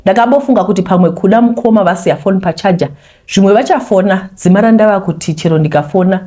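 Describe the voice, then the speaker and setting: A woman from Zimbabwe, a host who chats animatedly with viewers and then reads a confession aloud. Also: fast talker. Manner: animated, talks straight to viewers, voice leaps high when scolding. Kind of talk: chatty intro, then reading a confession aloud.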